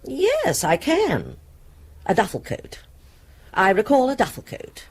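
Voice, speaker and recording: A woman's voice: a little high, huge pitch swings, slow, husky, exaggerated voice. A woman acting a prim, fussy middle-aged housewife in a radio drama, speaking formally and precisely. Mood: certain, prim.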